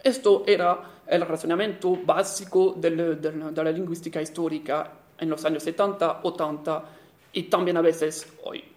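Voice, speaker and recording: High-voiced Italian man lecturing in Spanish. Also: fairly even intonation, darkish tone. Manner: careful, halting delivery with self-corrections and fillers. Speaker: historian giving a conference talk. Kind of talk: academic conference lecture.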